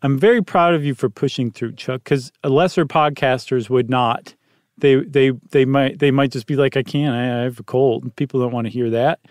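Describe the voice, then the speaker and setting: A man's voice: mid-range voice, fairly even intonation, normal speed, a little mellow, dry recording, studio quality. A man co-hosting a podcast, chatting casually with jokes and rambling asides. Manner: joking, rambling, playful asides. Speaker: podcast co-host. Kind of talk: casual chat.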